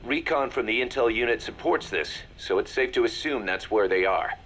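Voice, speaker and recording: An actor playing a serious military second-in-command in a video game, briefing in a businesslike, measured manner. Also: male voice, medium pitch, animated, fairly bright tone.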